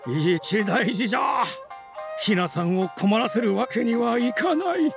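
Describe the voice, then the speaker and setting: Casting: excitable old grandfather, cracked gravelly voice, animated pitch, video game. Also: unhurried, over music, heavily acted. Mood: earnest, alarmed. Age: older. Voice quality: creaky, aged voice. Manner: old-fashioned elderly speech. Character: gullible, excitable elderly Japanese grandfather.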